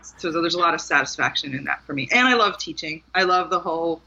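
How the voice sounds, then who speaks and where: A woman's voice: low voice, fast talker, vocal fry, bright, forceful tone, husky, muffled recording. Candid, laughing woman author guest. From Maryland, USA. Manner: candid and self-deprecating, laughs often. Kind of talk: casual interview conversation.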